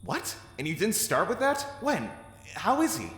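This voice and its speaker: A loyal, wry soldier in an audio drama. His fairly high voice swings widely and teases in an easy conversational way, ringing in a long, echoey reverb. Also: huge pitch swings, moderate pace, fairly bright tone, full character voice. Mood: surprised, teasing.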